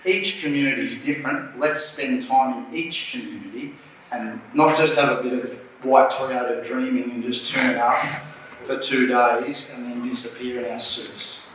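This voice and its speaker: A man's voice: mid-range voice, fairly even intonation, a little slow. An Australian man answering on a conference panel, blunt, plain-spoken and urging. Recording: echoey hall, distant mic, faint noise.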